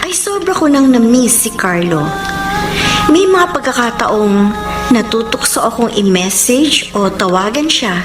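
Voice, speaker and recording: Lovelorn young Filipina, high voice. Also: female voice, big pitch swings, measured pace, reverberant, music in the background. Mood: wistful narrating. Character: lovelorn young woman, frank and emotional. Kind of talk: Filipino radio romance drama acting and letter narration.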